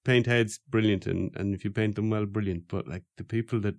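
A man's voice: lowish voice, steady tone, brisk, no echo. An Irish man co-hosting a casual podcast chat, enthusiastic and jokey. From Ireland. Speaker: podcast co-host.

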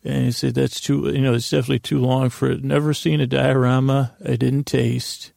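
A man with a creaky voice hosting a bedtime podcast in a meandering, halting monologue that stammers and interrupts itself with gentle humor. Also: mid-range voice, level tone, no echo, clean studio sound. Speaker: podcast host.

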